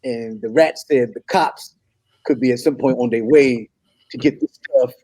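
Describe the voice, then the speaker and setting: A man in a comedy table read playing an apologetic, flustered suitor who stammers and fibs, very polite and halting. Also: medium pitch, mellow, no room sound, close to an everyday voice, low-quality recording. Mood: nervous fibbing. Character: apologetic, flustered man, nervously fibbing to impress his date.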